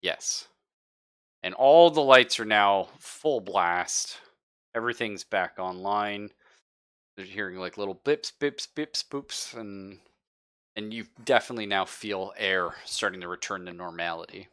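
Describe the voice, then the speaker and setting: Deep-voiced, bright-toned male game master. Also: unhurried, clean tone. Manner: casual, profane, slangy. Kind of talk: improvised narration of a tabletop roleplaying game.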